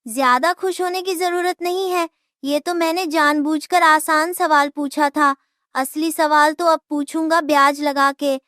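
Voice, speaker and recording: High-pitched sly cartoon schemer. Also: female voice, fairly even intonation, measured pace, a bit bright, no echo, clean studio sound. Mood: taunting. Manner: sly taunting, repeats a catchphrase. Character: scheming, greedy male village moneylender's clerk, smug and taunting. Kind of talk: children's cartoon voice acting.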